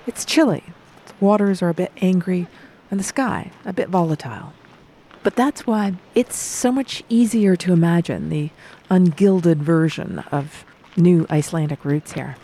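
A woman's voice: fairly low pitch, moderate pace, dark tone. A woman hosting a travel podcast, narrating a scripted story in a reflective, wry manner. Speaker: podcast host.

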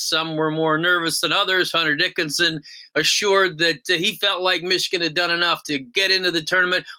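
A man's voice: fairly high pitch, sharp tone. A man hosting a sports podcast in a conversational interview style.

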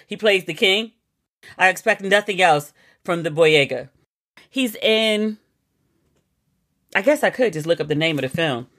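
A woman's voice: lowish voice, a bit bright. A woman hosting a podcast by herself, chatting casually and gushing playfully as she talks. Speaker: podcast host. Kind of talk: casual solo podcast chat.

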